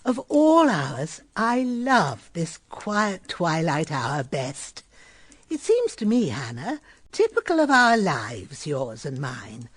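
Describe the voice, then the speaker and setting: An actress playing a gentle, shrewd elderly grandmother in a period radio drama, speaking in a tender, reflective and knowing manner. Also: sits low, wildly swooping pitch, slow, slightly rough, dry recording, heavily acted. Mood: serene. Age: older.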